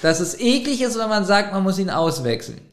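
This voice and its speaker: Fairly high-voiced guy talking excitedly, roomy echoey sound. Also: a little fast. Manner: animated, argumentative, laughs often. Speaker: podcast co-host. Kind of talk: casual chat, audio drama review.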